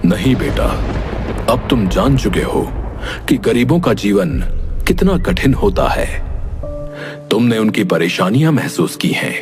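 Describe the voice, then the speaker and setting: A man's voice: fairly low pitch, sing-song, measured pace, pauses often. Man as a grave fatherly king over music. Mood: grave, instructive. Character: caring, dutiful older king, a father. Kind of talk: Hindi animated moral story voice acting.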